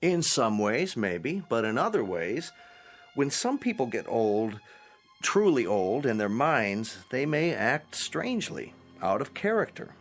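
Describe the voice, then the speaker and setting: An actor playing a calm manager of an old folks' home in a radio drama, speaking in a patient, placating way. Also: male voice, medium pitch, a little slow, faint music behind. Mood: unruffled, explaining.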